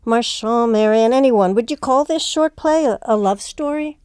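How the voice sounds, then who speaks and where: A woman's voice: fairly high pitch, moderate pace, a little mellow, clear, resonant tone, dry recording, clean studio sound. A woman hosting a casual discussion and putting questions to the panel. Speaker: discussion host.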